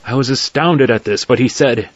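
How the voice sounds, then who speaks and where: A man's voice: medium pitch, moderate pace, no room sound, natural voice. A man playing a courteous, curious broker who narrates his story in formal archaic English for an audio drama. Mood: astonished. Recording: dull lo-fi sound, faint music behind.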